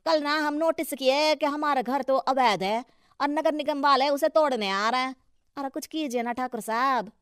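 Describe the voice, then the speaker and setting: A woman plays a flattering, deferential townsperson in a cartoon. The voice sits high and sharp, full of eager exclamations. It is recorded very dry. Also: normal speed, no room sound. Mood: pleading. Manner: fawning, exclamatory. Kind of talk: Hindi cartoon story dialogue.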